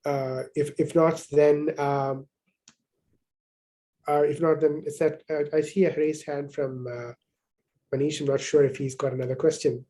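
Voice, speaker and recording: Mellow courteous male moderator. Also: on the high side, level tone, unhurried. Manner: courteous, hesitant. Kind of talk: hosting a Q&A session.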